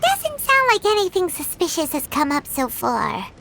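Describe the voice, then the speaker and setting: A woman voicing a tiny, childlike, excitable female fairy companion in a video game, with a squeaky, exclamatory delivery. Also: moderate pace, vocal fry, a little hiss or hum, exaggerated voice. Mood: curious, musing. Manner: exclamatory, refers to self in third person. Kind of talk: video game character voice acting.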